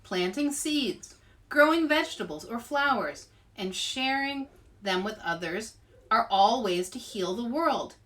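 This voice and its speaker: A woman voicing a kindly woman rabbi in a storybook, preaching in a measured, earnest, encouraging way. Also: fairly high pitch, animated, fairly bright tone, recorded from a distance.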